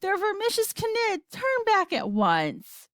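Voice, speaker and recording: A loud, bossy old grandmother from a children's storybook, as a woman reader puts her on. She screams and scolds in alarm, high and swooping, in a dry recording. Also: very animated, normal speed, no room sound. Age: older.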